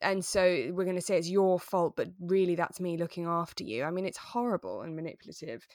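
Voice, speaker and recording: British woman podcast co-host, lower-pitched, very dry sound. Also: a little fast, a little mellow, dry recording. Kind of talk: casual chat, reviewing a radio drama.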